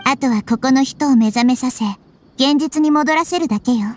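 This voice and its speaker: A woman voicing a wise, childlike young goddess in an anime game, calm, measured and gently authoritative. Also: high voice, natural voice. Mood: calm, grave. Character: wise, composed childlike little goddess girl. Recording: music under the voice.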